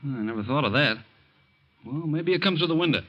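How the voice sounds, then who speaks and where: A man's voice: fairly high pitch, lively intonation, brisk. A man playing an earnest young American ex-hoodlum and fond uncle in a 1940s radio drama, talking in casual slang. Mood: gentle, amused. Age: young adult. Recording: muffled old-time radio recording.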